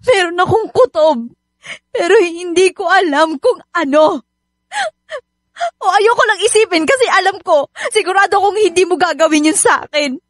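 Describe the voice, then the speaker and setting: A woman's voice: very high-pitched, moderate pace, dry recording. A woman playing a hardworking, nagging and hurt wife and mother in a Filipino radio drama, emotional and scolding. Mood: anguished. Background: background music.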